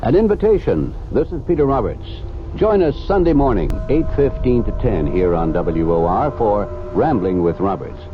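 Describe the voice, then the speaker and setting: A man's voice: on the low side, big pitch swings, normal speed. A man reading a folksy, chatty promo for his weekend radio show. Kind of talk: station promo announcement. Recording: dull vintage AM radio recording with music.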